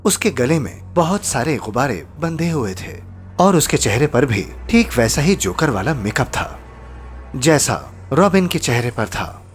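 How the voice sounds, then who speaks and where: A man's voice: medium pitch, big pitch swings, a little slow. A man narrating a scripted horror story in a suspenseful storytelling style. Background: background music.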